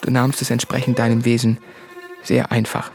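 An actor playing an anguished, self-doubting son in a radio play, delivering intimate literary monologue in an earnest, deliberate manner. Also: male voice, mid-range voice, completely flat delivery, creaky, darkish tone, soft background music, natural voice. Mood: reproachful.

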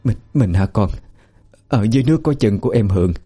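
A man's voice: lowish voice, animated, quick pace, dark tone, natural voice. A man voicing an elderly woman driven mad by grief, distraught and confused. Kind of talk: audiobook character voice.

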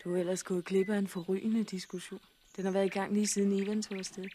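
An actress in a 1960s radio drama playing a steady, practical, tender farm wife, earnest and composed. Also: female voice, medium pitch, a little hiss or hum, lightly acted.

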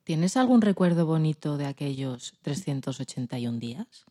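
A woman's voice: sits low, expressive, moderate pace, mellow. A woman acting as podcast interviewer, asking short scripted questions.

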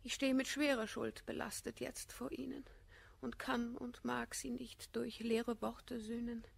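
An actress playing a worldly, self-possessed woman of twenty, declaiming classical German verse with measured elegance in a radio drama. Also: fairly high pitch, level tone, creaky, slightly rough, dry recording, heavily acted. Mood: contrite.

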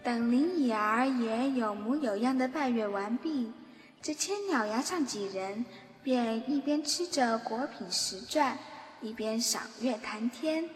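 A woman's voice: fairly high pitch, a little slow. A woman reading scripted narration for a fantasy radio drama. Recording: long reverb, music underneath. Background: background music.

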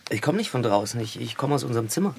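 Ein Schauspieler spielt in einem Hörspiel einen unbeholfenen, verliebten Mann, der eifrig und stockend spricht. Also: male voice, medium pitch, expressive. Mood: awkward. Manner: hesitant, eager, halting. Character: awkward, infatuated man working for an aid organisation. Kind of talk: naturalistic radio drama dialogue. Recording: clear background noise.

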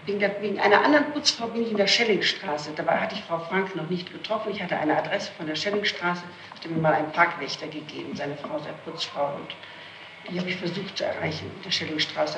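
A woman answers questions on an old archival tape with clear noise and a roomy echo. She explains things step by step, haltingly, often circling back to correct herself. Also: fairly low pitch. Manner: halting, circumstantial, self-correcting. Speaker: accused being questioned. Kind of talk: recounting answers under interrogation. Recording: noisy echoey archival tape recording.

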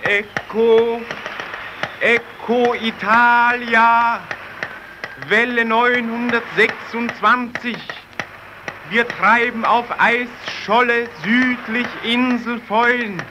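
Desperate radio operator on the ice, very high voice, drawn-out urgent chanting, radio drama, noisy old recording. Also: male voice, level tone, exaggerated voice. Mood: pleading. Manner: urgent, chanting, repetitive calling. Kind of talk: German radio play acting, slow distress-call declamation.

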